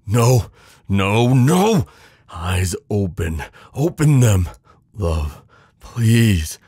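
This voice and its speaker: A man performs a devoted knight in an intimate fantasy audio drama. The voice is low and husky, close and dry, with unhurried pacing. He is protective and tender, pleading urgently with the one he loves. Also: dry recording, full character voice. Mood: desperate, pleading. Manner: urgent, pleading, hushed reassurance. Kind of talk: intimate ASMR romance roleplay acting.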